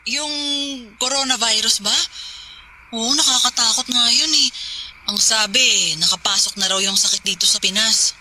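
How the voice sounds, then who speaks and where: Young wife: very bright, slightly high voice, casual reassuring drama delivery, faint ambience. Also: female voice, steady tone, moderate pace, long pauses, slight background noise, rough recording. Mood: surprised, skeptical. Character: young working wife, calm and mildly skeptical. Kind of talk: casual radio drama phone conversation.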